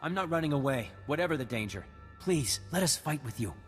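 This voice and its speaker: A man voicing an earnest, respectful young militia recruit in a video game, with a husky voice. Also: fairly high pitch, expressive, fairly quick, long reverb tail, faint music behind, lightly acted. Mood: determined, pleading.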